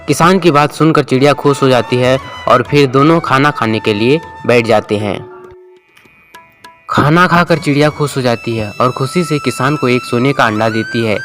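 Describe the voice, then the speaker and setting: Casting: male storyteller for cartoon moral tales, mid-pitched, scripted narration over music. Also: moderate pace, a bit bright. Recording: music bed under the voice.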